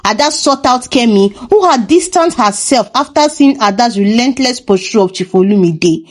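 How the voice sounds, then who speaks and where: High-pitched woman narrating, lively intonation. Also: normal speed. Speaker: storyteller, narrator. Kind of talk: scripted folktale narration.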